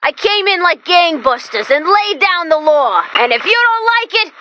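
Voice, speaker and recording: A woman voicing an upbeat, chatty female robot guide in a video game, perky and theatrical, flipping between hype and deadpan. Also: very high voice, normal speed, no room sound, exaggerated voice. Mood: excitable. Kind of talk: animated video game character voice lines.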